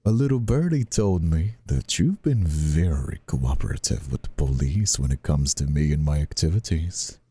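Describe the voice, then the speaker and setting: A man playing a very deep, gravelly mobster villain. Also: animated, mellow, exaggerated voice. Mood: mocking, menacing. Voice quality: gravelly, husky, very low. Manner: mock-polite, smug, drawn-out taunting. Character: menacing, taunting mobster brother, escaped convict and crew leader. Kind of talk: scripted audio roleplay acting, ASMR.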